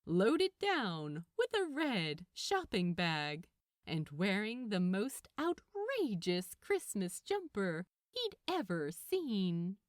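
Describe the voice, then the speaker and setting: A woman's voice: a little high, dry recording, clean studio sound. A woman narrating a piece of fiction expressively, as a scripted audiobook-style reading.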